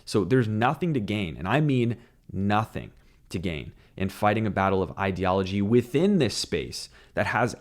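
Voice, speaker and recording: Slightly scratchy low-voiced guy ranting about crypto into a desk mic at home, opinionated, brisk. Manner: opinionated, brisk, mocking at illogical debates. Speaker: crypto show host. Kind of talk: scripted commentary. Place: small home studio with lamp. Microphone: desk broadcast mic on arm.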